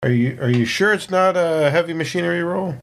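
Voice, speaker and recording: A man's voice: on the high side, fairly quick. A man playing a tabletop RPG, chatting casually with dry, wordy humour. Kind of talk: casual, animated game-table chat.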